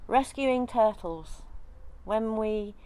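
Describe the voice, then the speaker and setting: A woman's voice: on the high side, very slow, warm tone. An older woman in a casual outdoor interview, chatting about sailing life with frequent ums. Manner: chatty, thinks aloud with many ums. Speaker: interviewee, sailor. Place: outdoors in a leafy garden.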